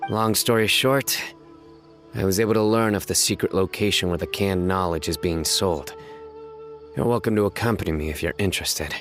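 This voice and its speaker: A stern, composed lawman in an anime-style game. The voice is very deep and the delivery formal, terse and measured, with music underneath. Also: a bit bright. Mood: stern, brisk. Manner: formal, measured, matter-of-fact. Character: stern, composed male law enforcer, terse and serious.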